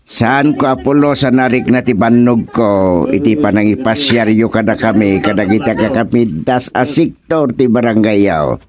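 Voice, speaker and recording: A man with an Ilocano accent playing a genial, chatty village chairman in a radio comedy drama, with a drawn-out delivery. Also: lowish voice, crowd noise, natural voice. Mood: genial, praising.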